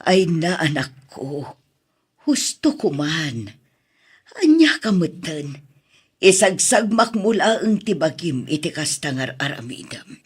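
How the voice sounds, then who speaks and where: A woman playing a worried, reproving middle-aged mother with a husky voice and an Ilocano accent in an audio drama. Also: low voice, big pitch swings, takes it slowly, exaggerated voice.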